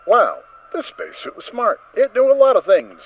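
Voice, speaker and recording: Lively, dramatic male story narrator. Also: sits high, normal speed, vocal fry. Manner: expressive, theatrical emphasis. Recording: dull recording with faint noise.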